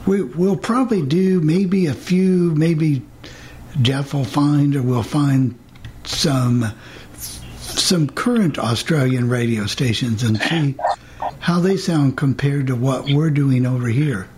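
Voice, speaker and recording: Host, male, higher voice, hesitant, clear hiss under him. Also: takes it slowly, a little mellow. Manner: hesitant, trailing off. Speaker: radio show host. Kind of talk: casual panel chat.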